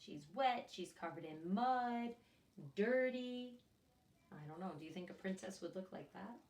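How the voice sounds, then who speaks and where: A woman's voice: medium pitch, lively intonation, measured pace, darkish tone, slight background noise. A woman reading a picture book aloud to young children, expressively, often turning to them with questions and asides. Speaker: children's storyteller and teacher. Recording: echoey room, distant microphone.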